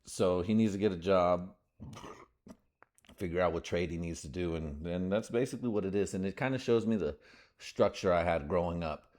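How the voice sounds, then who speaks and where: A man's voice: low-pitched, normal speed, darkish tone. A man born in the United States hosting a podcast, rambling casually in slangy, profane talk with lots of fillers. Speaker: podcast host.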